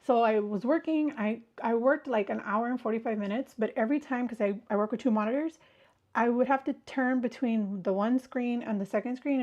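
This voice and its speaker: A woman's voice: on the high side, lo-fi sound. A woman hosting a casual livestream, chatting with viewers in a rambling way while congested from a sinus illness. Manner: chatty, rambling, greets viewers mid-sentence. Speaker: livestream host. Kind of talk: casual chat with live viewers.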